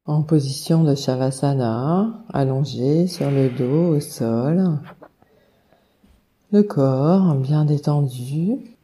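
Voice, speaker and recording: A woman leading a guided meditation, giving calm, soothing instructions with long unhurried pauses. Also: sits low. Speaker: yoga nidra meditation guide.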